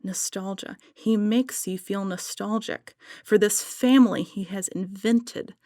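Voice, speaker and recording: A woman's voice: mid-range voice, a little slow, mellow, no room sound, studio quality. A woman guest in a casual podcast conversation, enthusiastic and loosely rambling.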